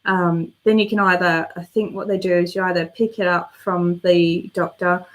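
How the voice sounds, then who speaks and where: A woman's voice: lowish voice, steady tone, normal speed. A woman hosting a casual live stream and answering viewer comments, chatty and meandering as she thinks aloud, slightly congested with a worn voice.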